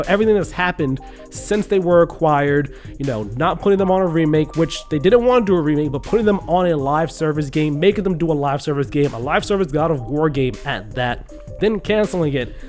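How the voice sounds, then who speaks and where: A man's voice: on the high side, darkish tone. Congested young man ranting about games. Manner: earnest, restarts and fillers, indignant. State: congested from a cold. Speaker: gaming news commentator. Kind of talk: casual video commentary.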